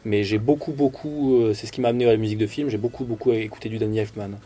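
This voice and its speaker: A man's voice: lowish voice, steady tone, very fast, mellow, slightly rough. A man, a musician guest, answering hesitantly with frequent restarts. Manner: hesitant, restarts often with many fillers. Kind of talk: casual interview.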